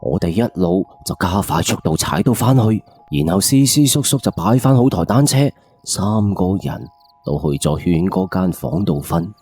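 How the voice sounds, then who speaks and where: A man's voice: deep voice, animated, normal speed, darkish tone, husky, soft background music. A man with a Cantonese accent narrating a first-person ghost story in a colloquial, suspenseful late-night radio style.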